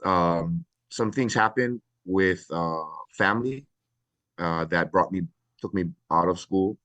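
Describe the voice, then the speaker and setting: A middle-aged man from Hawaii, a retired fire battalion chief, speaking earnestly and reflectively with many pauses in a podcast interview. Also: low-pitched, fairly bright tone, no echo.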